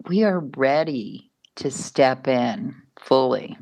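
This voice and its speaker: Very low-voiced, slow-speaking woman astrologer. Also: lively intonation, vocal fry. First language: not Spanish (lives in Mexico). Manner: unhurried and rambling, with restarts and soft laughs. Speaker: astrologer giving a weekly forecast. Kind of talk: casual spoken forecast.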